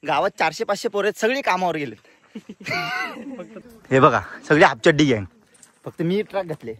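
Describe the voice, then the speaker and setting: A man's voice: fairly high pitch, big pitch swings, moderate pace, a crowd in the background. A young man from Maharashtra, chatting casually as a vlogger in a playful, teasing way, tossing in slangy English catchphrases. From Maharashtra, India. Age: young adult. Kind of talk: casual vlog chat.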